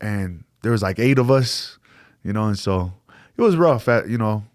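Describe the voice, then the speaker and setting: A young man guest on a podcast, telling his story in a relaxed, easygoing way and dropping "bro" into his sentences. His voice sits fairly low, and the sound is close and dry with no room around it. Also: normal speed, dry recording. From American Samoa. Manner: laid-back, says "bro" and "yeah" a lot, laughs easily. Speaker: guest, MMA fighter. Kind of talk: casual interview chat.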